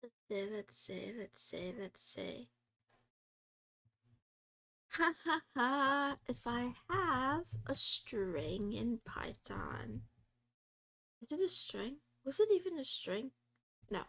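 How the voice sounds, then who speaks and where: A woman's voice: a little high, sing-song, slow, pauses often, bright, forceful tone. A woman live-streaming while she codes, chatting casually and rambling with lots of fillers, talking to her viewers and laughing often.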